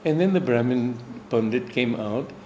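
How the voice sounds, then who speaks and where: A man's voice: mid-range voice, expressive, normal speed, darkish tone, slight background noise. American man, spiritual teacher, anecdotal storytelling, echoey room, light background ambience. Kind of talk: personal storytelling talk.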